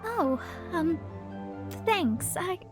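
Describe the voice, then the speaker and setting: A proud young lady astrologer in a video game. The voice is very high and haughty, with a dramatic streak that flusters easily, over faint music. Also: sing-song, drawn-out words, exaggerated voice. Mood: awkward. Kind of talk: video game character acting.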